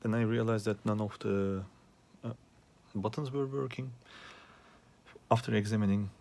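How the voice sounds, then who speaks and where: A man giving an unscripted troubleshooting demonstration as a hobbyist repairer, speaking haltingly with frequent pauses and hesitations. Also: lowish voice, steady tone, gravelly, a little mellow. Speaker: hobbyist repairer asking viewers for help. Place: small indoor workspace at a repair bench.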